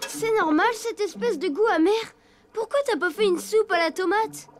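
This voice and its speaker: A woman's voice: extremely high pitch, moderate pace. A woman voicing an eager, chatty young elephant girl in a cartoon.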